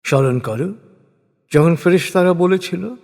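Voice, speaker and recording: Slow, solemn male narrator in heavy reverb. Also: sits high, creaky, a little mellow. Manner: measured, reverent, with deliberate pauses between phrases. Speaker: narrator of a scripture translation. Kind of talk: solemn scripted religious recitation in prose. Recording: long reverberant hall-like echo.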